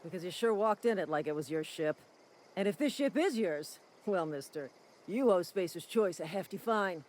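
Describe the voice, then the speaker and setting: An actor playing a bumbling, officious corporate town guard in a video game, with a folksy manner that tips into apology. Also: male voice, high-pitched, expressive, moderate pace, darkish tone. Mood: officious, accusing. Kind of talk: video game character acting.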